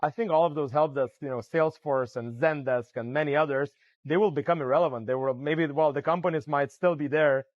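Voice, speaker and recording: A man gives a conference interview, explaining in a fluent way and leaning on "you know" fillers. His voice sits fairly high for a man. The sound is dry and a little muffled. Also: a little fast, few pauses, no echo. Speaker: AI startup founder, interview guest. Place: conference venue.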